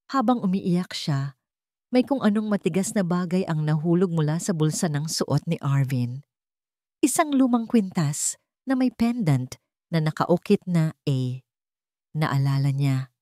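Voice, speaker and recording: A woman's voice: low-pitched, warm tone, no echo, studio quality. A woman reading a dramatic romance story in an emotive, melodramatic way. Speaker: story narrator.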